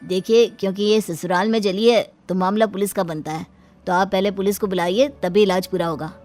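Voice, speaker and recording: A woman voicing a stern, suspicious male doctor in an animated moral story, firm and admonishing. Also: mid-range voice, fairly quick, soft background music, natural voice.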